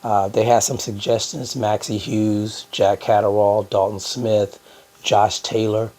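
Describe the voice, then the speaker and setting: A hoarse man hosting a boxing news channel, talking casually to his viewers with lots of fillers. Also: lowish voice, little pitch movement, unhurried, a little mellow. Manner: conversational, many "uh" fillers, addresses "guys". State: hoarse from a cold. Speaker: boxing YouTube host.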